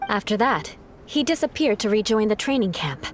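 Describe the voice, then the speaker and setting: A woman playing a stern, composed young knight in an anime game, speaking in a measured, formal way. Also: medium pitch, very animated, heavy background noise, natural voice. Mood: cool, composed. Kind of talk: anime video game voice acting.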